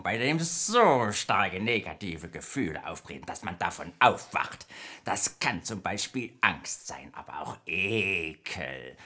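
A man playing a nightmare demon being interviewed in a children's radio play, theatrical and drawn-out in delivery. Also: low voice, sharp tone, full character voice. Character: male nightmare demon as talk-show guest, slow and eerie. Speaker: interview guest.

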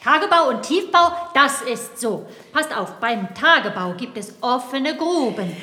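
Lady playing a chatty little mountain sprite, kids audio play, high voice, big echoey hall. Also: animated, a little slow, pushed and bright, heavily acted. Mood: playful, evasive. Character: chattering mountain sprite, know-it-all, playfully evasive. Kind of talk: children's audio drama acting. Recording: voice in a long hall reverb.

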